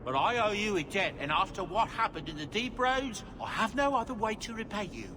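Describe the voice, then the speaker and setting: An actor playing a fussy, deferential dwarf merchant in a video game, courteous, formal and eager to please. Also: male voice, high-pitched, very animated, fairly quick, noisy background. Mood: humble, grateful. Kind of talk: video game cutscene acting.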